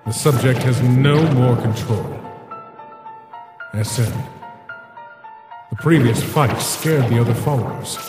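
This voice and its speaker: A man voicing an eerie, disdainful phantom scientist in an animated comic dub, speaking in a deliberate, clinical way. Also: medium pitch. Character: eerie, dry-witted male phantom scientist companion. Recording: echoing reverb effect, music behind.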